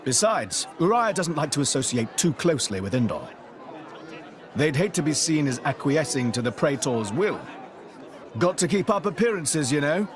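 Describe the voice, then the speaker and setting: An actor with a British accent playing a cocky young adventurer in a video game, breezy and colloquial. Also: male voice, on the high side, sing-song, moderate pace, crowd noise. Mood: casual explaining. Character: cocky, good-humoured young exiled royal adventurer. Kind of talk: animated video game voice acting. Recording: music behind.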